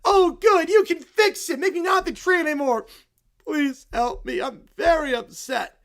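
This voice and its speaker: A man voicing a group of entitled, whining cartoon kids who complain dramatically and shout. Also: very high-pitched, unhurried, pitched above the performer's own voice, full character voice. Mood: whiny.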